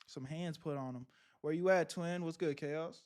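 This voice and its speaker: A young man streaming live, chatting casually with his viewers in loud, laughing, slang-heavy banter. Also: on the high side, moderate pace. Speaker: streamer reacting to videos.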